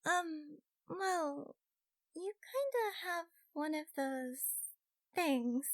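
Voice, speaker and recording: A woman's voice: high voice, animated, very slow, heavily acted. A woman acts out a young fox girl mage from a fantasy audiobook. She is playful and giggly, with a perky, teasing manner that suits a mischievous companion in a game world. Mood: nervous, amused. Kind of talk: audiobook character acting.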